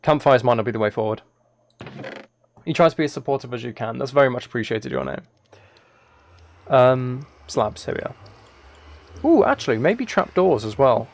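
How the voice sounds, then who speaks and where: A man's voice: medium pitch, very animated, moderate pace, long pauses. A man giving casual gaming livestream commentary, thinking aloud and correcting himself as he chats with viewers. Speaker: live streamer.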